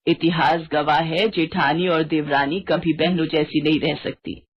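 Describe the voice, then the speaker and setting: A woman playing a gossipy, meddling middle-aged neighbourhood auntie in a story cartoon, needling and warning in colloquial speech with a husky voice. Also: low voice, steady tone, measured pace. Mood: sly warning. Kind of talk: Hindi storytelling cartoon drama. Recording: dull lo-fi audio.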